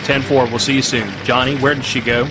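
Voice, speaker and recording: An actor playing a gruff, hard-pressed army major in an audio drama, brusque and authoritative. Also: male voice, mid-range voice, steady tone, a little fast, natural voice. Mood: weary, brusque. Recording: loud music and outdoor vehicle noise behind.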